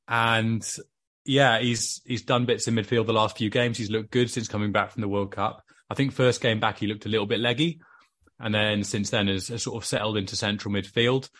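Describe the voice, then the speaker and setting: A Welsh man chatting casually about his football club on a podcast, measured and full of fillers. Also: lowish voice, a bit bright. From Wales.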